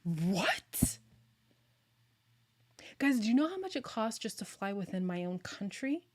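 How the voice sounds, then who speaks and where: Chatty woman streamer, slightly hoarse. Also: mid-range voice, a little slow. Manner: chatty, excitable, laughs often. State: losing her voice, a little hoarse. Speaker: streamer hosting a casual live chat. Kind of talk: casual livestream chat with viewers.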